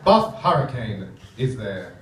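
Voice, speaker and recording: Man playing a grandiose, slow, swooping storybook narrator. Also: lowish voice, sing-song, heavy background noise. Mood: dramatic. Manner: grandiose, dramatic. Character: pompous male narrator fancying himself a caped hero. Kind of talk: theatrical scripted narration. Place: theatre stage, live performance. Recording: echoey theatre stage from far off.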